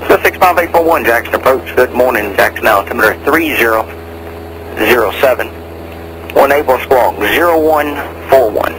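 A man's voice: mid-range voice, moderate pace, a bit bright, low-quality recording. A man working as an air traffic controller, giving procedural information over aviation radio. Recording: aviation radio with faint noise.

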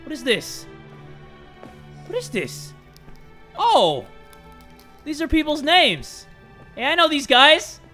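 A young man in a home room on a headset, hosting a horror game playthrough, swinging from loud yelling to hushed talk. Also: extremely high pitch, huge pitch swings, slow, long pauses, soft background music. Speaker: gaming video host. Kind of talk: energetic horror game commentary.

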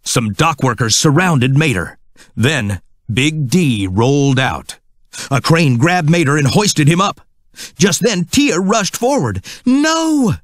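Man for children's storybook narration: scripted, highly expressive pitch, close dry sound. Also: slow pace, dry recording.

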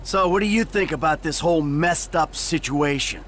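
A man's voice: on the high side, normal speed, a bit bright, a little hiss or hum, natural voice. A man playing a middle-aged American career criminal in a video game, weary and sarcastic. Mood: wary, questioning. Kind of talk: video game dialogue acting.

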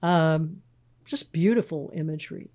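Texan woman pastor, relaxed storytelling. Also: sits low, measured pace. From Texas. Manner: warm storytelling, frequent fillers and restarts. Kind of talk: casual podcast interview.

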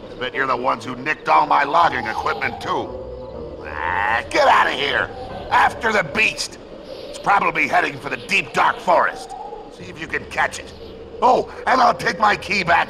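An actor playing a cranky, bossy logging supervisor in a cartoon video game, irascible and given to shouting. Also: male voice, fairly high pitch, big pitch swings, normal speed, vocal fry, noisy background. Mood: irritated, bossy.

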